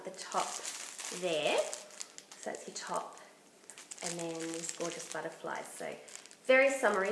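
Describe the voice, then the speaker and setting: A woman hosting a knitting podcast in casual chat, her voice croaky from bronchitis, chatty and enthusiastic. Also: mid-range voice, a bit bright, echoey room, a little hiss or hum, low-quality recording. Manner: chatty and enthusiastic, laughs often.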